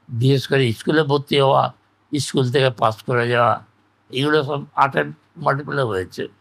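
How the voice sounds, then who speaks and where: An older man talks as a guest in a reflective interview. His voice sits at a middle pitch. He is measured, laying out his recollections in short, broken phrases. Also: vocal fry.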